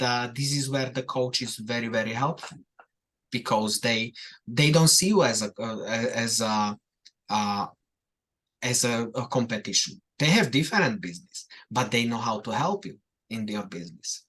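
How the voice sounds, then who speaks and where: A man's voice: mid-range voice, animated, normal speed, fairly bright tone. A man talking about business coaching in a casual podcast conversation, hesitant and full of fillers.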